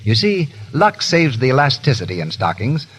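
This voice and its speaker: Lively male 1930s radio announcer. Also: mid-range voice, normal speed, slightly rough. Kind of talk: scripted 1930s radio advertising narration. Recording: vintage 1930s radio broadcast.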